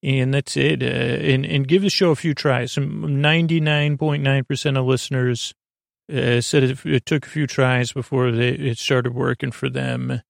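A man hosting a bedtime podcast in a meandering, halting monologue, with a gravelly voice and a lulling, soothing manner. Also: mid-range voice, fairly even intonation, dry recording, clean studio sound. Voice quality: gravelly, creaky. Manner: rambling, halting, self-interrupting. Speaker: podcast host.

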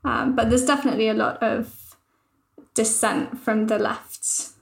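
A young adult woman, a journalist on a news podcast, explaining things in a measured, thoughtful way. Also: a little high, fairly even intonation, creaky. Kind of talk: podcast discussion.

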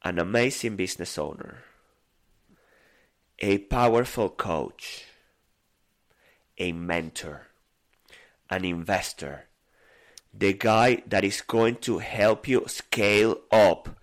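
A man with a Venezuelan Spanish accent, hoarse from a cold, co-hosting a podcast with teasing banter and a hyped-up guest introduction. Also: fairly low pitch, takes it slowly, long pauses. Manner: teasing, stumbling restarts, building dramatic intro. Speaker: co-host.

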